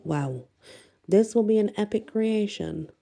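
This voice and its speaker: Woman, very dark mellow tone, slightly creaky, soft, expressive bedtime storytelling. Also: mid-range voice, unhurried. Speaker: audiobook reader and storyteller. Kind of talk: expressive first-person bedtime story reading.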